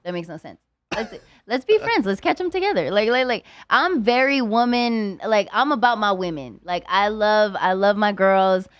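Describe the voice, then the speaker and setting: A woman's voice: medium pitch, normal speed, no echo. Blunt, profane young woman guest, dry sound. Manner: blunt and profane, heavy use of "like"; animated, slips into voiced quotes. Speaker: podcast guest. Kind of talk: casual interview chat.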